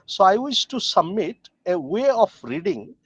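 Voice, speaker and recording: Man, high-pitched, formal academic remarks, halting delivery, muffled online-call sound. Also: very animated, creaky, husky, no room sound. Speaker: academic discussant. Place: joining a video call from a train.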